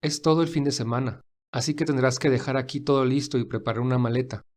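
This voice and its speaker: A man playing a kind, busy, wealthy gentleman who speaks warmly and reassuringly in an audio fan drama. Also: mid-range voice, steady tone, fast talker, close to an everyday voice. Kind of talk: acted audio fan fiction dialogue.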